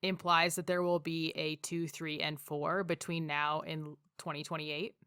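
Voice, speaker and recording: A Canadian woman with a somewhat low voice hosts a casual podcast chat, friendly with a dry, joking edge. Her voice sounds close and dry, with no room around it. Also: little pitch movement, a little slow, fairly bright tone, clean tone, no echo. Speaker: podcast host.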